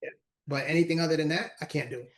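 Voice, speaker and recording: Brief: forties man, earnest motivational speaker guest, quick flat delivery. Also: fairly high pitch. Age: 40s. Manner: earnest, repeats words while talking. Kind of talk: casual interview conversation.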